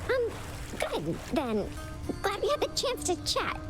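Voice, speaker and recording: A woman's voice: extremely high pitch, huge pitch swings, a little slow, music in the background, exaggerated voice. A woman playing a timid, awkwardly polite underworld servant in a video game, hesitant and chirpy. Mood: awkward, reproachful.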